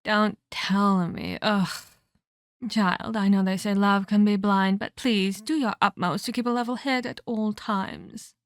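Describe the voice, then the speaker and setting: A woman's voice: mid-range voice, steady tone, unhurried, dry recording, close to an everyday voice. Lady doing an ancient evil vampire woman, asmr roleplay, smug n taunting, very dry close sound. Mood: scolding, amused. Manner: haughty, taunting, scolding, formal diction. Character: sadistic, scheming twelve-hundred-year-old female vampire villain.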